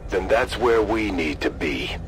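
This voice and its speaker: A man's voice: on the low side, expressive, measured pace, loud music behind the voice. An actor playing a resolute male squad leader in a video game, giving firm, commanding orders. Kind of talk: video game cutscene acting.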